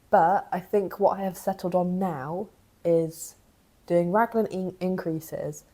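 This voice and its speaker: A young woman, croaky from a cough, chatting casually in a knitting vlog as she thinks aloud. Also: low voice, mellow. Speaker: knitting vlogger.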